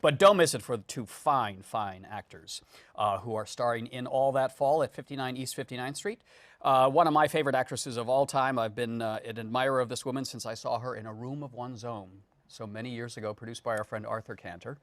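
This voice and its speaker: A man works as a talk show co-host, putting questions to guests in a conversational style. His voice sits at a middle pitch. The recording is dry, with very little room sound. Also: fairly even intonation, brisk, a bit bright, dry recording. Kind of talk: conversational interviewing.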